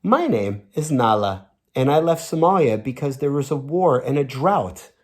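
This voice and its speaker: A man's voice: medium pitch, big pitch swings, moderate pace, darkish tone. Guy doing a little refugee kid from a picture book, earnest n friendly, really bouncy intonation. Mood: cheerful, earnest. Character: young refugee children introducing themselves, earnest and friendly. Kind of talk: storybook read-aloud in character.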